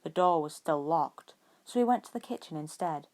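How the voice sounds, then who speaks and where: A woman narrating a story from a script in an expressive way, as an amateur audiobook reader. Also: low-pitched, moderate pace. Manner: acts out dialogue with expression.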